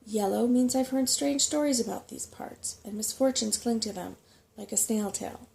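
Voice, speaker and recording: Female reader as small male rat mapmaker for a storybook: portentous, fussy, grasping, soft-spoken, background noise. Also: fairly high pitch, normal speed, creaky. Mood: portentous, instructive. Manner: portentous, fussy, easily affronted.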